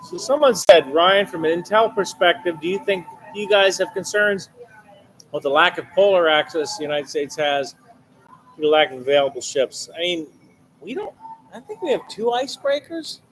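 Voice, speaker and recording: A man's voice: sits high, normal speed, a little hiss or hum, rough recording. A man from New Jersey, a shipping channel host, chatting casually in a bar and reading out viewer questions. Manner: relaxed, reads out viewer questions.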